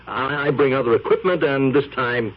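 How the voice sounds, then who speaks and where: An actor playing a brash, wisecracking photographer in a 1970s radio drama, talking fast with slang. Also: male voice, medium pitch, lightly acted. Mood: puzzled. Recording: dull old radio broadcast.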